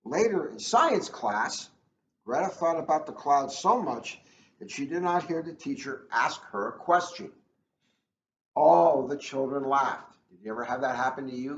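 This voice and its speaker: A man reading a children's book aloud as a police officer, chatting and joking at his own expense in casual asides. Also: medium pitch. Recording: echoey room, dull lo-fi, faint noise.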